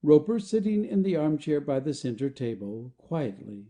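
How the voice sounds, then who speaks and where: Very mellow, expressive male narrator. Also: a little high, moderate pace. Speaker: narrator reading stage directions. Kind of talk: scripted narration of a play.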